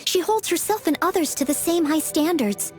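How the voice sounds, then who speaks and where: Game casting: devoted teenage maid, polite and eager, high lilting voice with lively swings, music underneath. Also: female voice, normal speed. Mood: admiring, eager. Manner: polite, earnest, eager to please. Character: earnest, devoted young maid and aspiring knight, teenage girl. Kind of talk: video game character voice lines. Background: background music.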